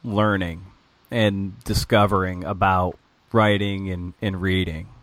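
A man hosting a podcast, asking questions in a halting, casual way. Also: deep voice, level tone, a bit bright, no echo. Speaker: podcast host.

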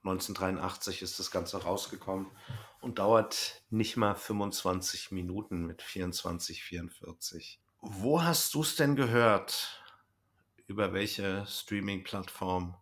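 Man, low-pitched voice, casual podcast co-host, conversational review talk. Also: unhurried, fairly bright tone. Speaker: bus driver. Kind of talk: casual podcast chat, audio drama review.

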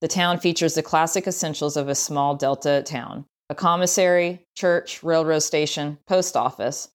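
A woman's voice: sits low, fairly even intonation, normal speed. A woman with a Southern US accent reading a scripted travel essay as narration. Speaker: writer, tour guide and historian reading own essay.

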